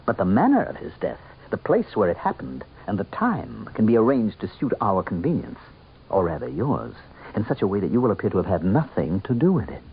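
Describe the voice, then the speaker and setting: A man's voice: on the low side, very animated, fairly quick. An actor playing a suave, mocking minor demon who passes for a man, with urbane, theatrical politeness in a radio mystery drama. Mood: suave, sardonic. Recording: muffled, band-limited old radio broadcast with noise.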